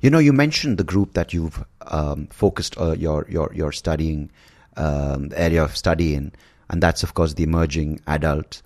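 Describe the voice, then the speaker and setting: A man in his forties hosting an interview, asking long, halting questions full of fillers. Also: rumbling low, darkish tone. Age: 40s. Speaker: podcast host.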